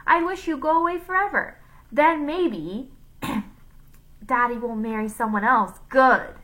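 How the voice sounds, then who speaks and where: Woman as a high, spiteful little kid. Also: slow pace, a bit bright. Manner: spiteful, whiny, emphatic. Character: villain mimicking children's voices, spiteful little kid.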